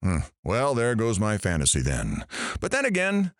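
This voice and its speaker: Casting: a man to play a teasing talk radio host, slightly low voice, hugely animated delivery, sarcastic patter. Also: normal speed, no echo, studio quality. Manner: sarcastic, theatrical swoops in pitch. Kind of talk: radio talk show patter in audio drama.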